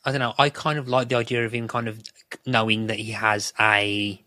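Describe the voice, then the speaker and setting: Male podcast guest, lowish voice in a dry close recording, thoughtful and analytical. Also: level tone, fairly quick, bright, forceful tone, no room sound. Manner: thoughtful, circling explanations. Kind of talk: casual analytical discussion.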